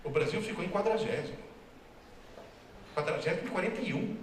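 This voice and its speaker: A male professor lectures with wry, teasing emphasis, his voice a touch high for a man. He sounds far from the mic, ringing through a very echoey hall with faint background noise. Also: expressive, a little fast. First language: Italian. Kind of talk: humorous public lecture. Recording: distant, very echoey hall recording.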